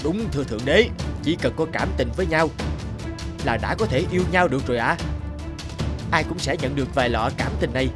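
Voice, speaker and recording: A man's voice: mid-range voice, animated, a little fast, over music, natural voice. A man voicing a servile winged cartoon love god, eager to please, with a deferential, pleading manner. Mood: eager, explaining. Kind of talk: animated fable dialogue.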